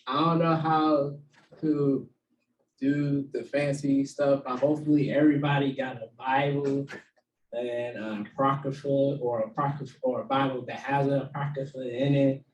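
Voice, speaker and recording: A man teaching a casual Bible study to a small group, with a low voice and plenty of fillers. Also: fairly even intonation, normal speed, lo-fi sound, slight background noise. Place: room with a small group, also streamed online. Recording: echoey room, far from the mic.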